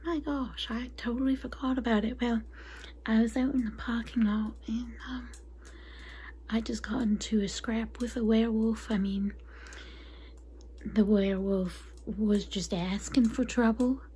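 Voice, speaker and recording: Woman doing catty vampire lady consultant, asmr whispering, gossipy, soft n mellow, bit of background noise. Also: on the high side, steady tone, unhurried, lightly acted, poor audio. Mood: flustered, indignant. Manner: confiding, gossipy, apologetic asides. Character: catty, chatty female vampire transformation consultant. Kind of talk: soft-spoken ASMR roleplay.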